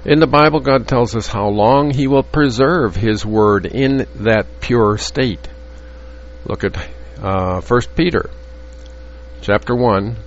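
A man teaching from the Bible in an earnest, halting way, with frequent restarts and fillers. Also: medium pitch. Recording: dull lo-fi audio with faint hum.

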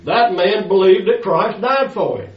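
A man's voice: high voice, expressive, unhurried. An older man preaching a sermon, pressing his listeners with rhetorical questions. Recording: echoey, distant, dull lo-fi sound.

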